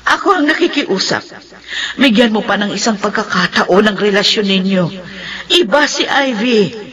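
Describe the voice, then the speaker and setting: A woman's voice: medium pitch, animated, normal speed, a bit bright, lightly acted. An actress playing a tearful mother who pleads emotionally in a radio drama. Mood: tearful, pleading.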